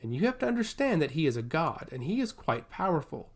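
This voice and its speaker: A man's voice: a little high, big pitch swings, quick pace. A man playing a forward, self-assured young nobleman in a fantasy story, with courtly, florid phrasing. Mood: stern warning.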